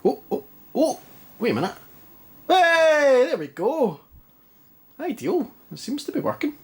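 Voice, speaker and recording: A man's voice: very high voice, sing-song, a little slow. A Scottish man with a stuffy, congested voice, chatting casually and mocking himself while testing an old console in a dim living room. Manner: chatty, self-mocking, rambling. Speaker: retro game vlogger. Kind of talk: casual chat.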